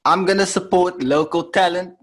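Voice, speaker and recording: A man's voice: sits high, steady tone, normal speed, fairly bright tone. A young man, a podcast guest dropping short, offhand remarks in casual chat.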